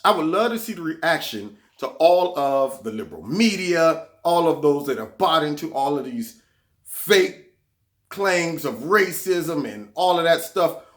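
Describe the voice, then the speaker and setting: A man's voice: fairly high pitch, lively intonation, a little slow, a bit bright. A man hosting his own political commentary vlog, talking sarcastically and repeating words when he gets heated. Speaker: vlog host.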